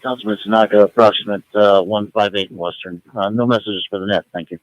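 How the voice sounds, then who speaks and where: A man's voice: fairly low pitch, steady tone, quick pace, no echo. A man checking in informally to a net over two-way radio. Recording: tinny, dry two-way radio transmission.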